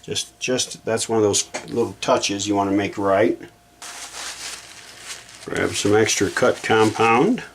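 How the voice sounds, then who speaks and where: A man's voice: on the low side, steady tone, takes it slowly, long pauses, a little hiss or hum. A man giving a casual hands-on repair demonstration, thinking aloud in an informal, folksy way as he works. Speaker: repair hobbyist hosting a how-to video.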